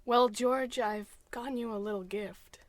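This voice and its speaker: A woman's voice: on the high side, fairly even intonation, unhurried, natural voice. A woman playing a devoted, sensible young suburban wife in a parody old-time radio drama, genteel and melodramatic. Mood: gentle.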